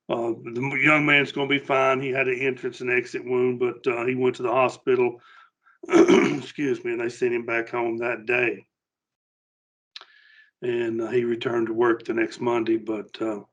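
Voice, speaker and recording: Southern US safety trainer, male, muffled recording. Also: medium pitch. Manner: halting, plain-spoken, earnest. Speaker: safety trainer for utility line workers. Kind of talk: informal spoken safety briefing.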